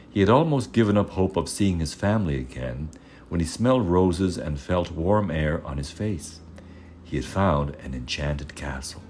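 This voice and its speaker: Very deep male fairy-tale narrator. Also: slight background noise. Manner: measured, expressive storytelling. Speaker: storyteller.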